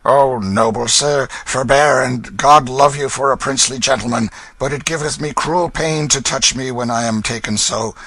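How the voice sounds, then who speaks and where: A man's voice: mid-range voice, moderate pace, few pauses, pushed and bright, husky, no room sound, voice pushed up, rough recording. A man voicing a sly young beggar and thief who talks in a scornful, wheedling, bossy way. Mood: fake suffering.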